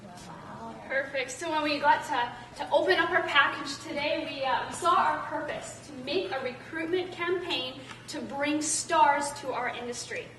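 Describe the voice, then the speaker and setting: Young canadian girl presenting, really high voice, echoey room. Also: sharp tone. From British Columbia, Canada. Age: young adult. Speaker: student presenter. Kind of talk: informal student presentation. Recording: echoey room, distant mic, faint noise.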